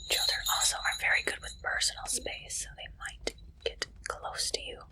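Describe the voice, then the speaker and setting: A woman speaking in a soft, breathy whisper, playing an interviewer in an ASMR roleplay with slow, deliberate, deadpan delivery.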